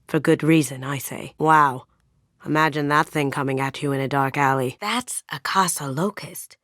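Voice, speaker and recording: A woman's voice: deep voice, moderate pace, fairly bright tone, no echo, studio quality. A young woman acting as a sly, playful master thief in a sci-fi video game, with a wry, coolly confident way of talking. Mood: wry, admiring.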